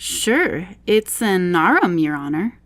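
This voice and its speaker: A woman's voice: lowish voice, sing-song, takes it slowly, no echo. A woman voicing a humble, reluctant male animal servant in a storybook, folksy and deferential as he protests.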